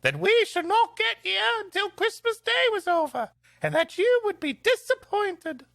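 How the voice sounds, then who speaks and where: A doting, upper-crust English aunt from a comic storybook reading, played by a man in falsetto. The British accent is put on and theatrical, very high and swooping, with a bright edge. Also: lively intonation, moderate pace, creaky, no echo, studio quality, heavily acted. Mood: gushing. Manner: theatrical, exclamatory.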